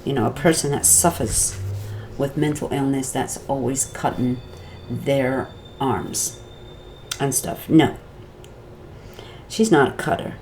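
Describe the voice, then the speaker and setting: Slow, low-voiced rambling woman vlogger. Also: darkish tone, a little hiss or hum, poor audio. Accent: Wisconsin. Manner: meandering, chatty, drifts off topic and back. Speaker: vlogger recapping a court trial. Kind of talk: rambling casual commentary.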